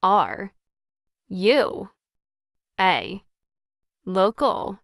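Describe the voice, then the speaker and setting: A woman speaks short English phrases at a very slow, deliberate pace, separating each word for learners to repeat. Her voice sits at a middle pitch and is recorded close and dry. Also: sing-song, gravelly, no room sound. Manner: careful word-by-word dictation. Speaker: English teacher. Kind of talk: slow model sentences for repetition drills.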